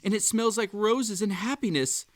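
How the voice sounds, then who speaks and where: A man playing an obsessive, unhinged magical hare hosting a tea party in a roleplay audio, with a theatrical, halting delivery that swings between sweet coaxing and menace. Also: sits high, dry recording, lightly acted. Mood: manic delight. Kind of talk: roleplay audio acting, intimate second-person address.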